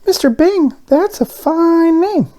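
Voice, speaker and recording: A man voicing an excitable, naive little talking doughnut from a children's storybook, with an earnest, exclamatory manner. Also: very high voice, slow pace, pitched above the performer's own voice, heavily acted. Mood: excited.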